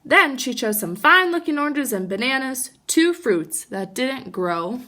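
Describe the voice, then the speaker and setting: A woman reads a children's story aloud like a teacher to young listeners. Her voice is bright and a little high, with very lively rises and falls. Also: a little slow. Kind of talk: animated children's storybook narration.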